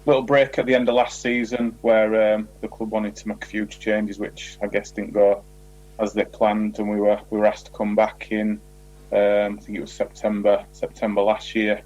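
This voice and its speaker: A man with a very low voice answers interview questions in a measured, explanatory way. His intonation stays flat and even, and the audio is dull and lo-fi, like a radio call. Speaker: football manager.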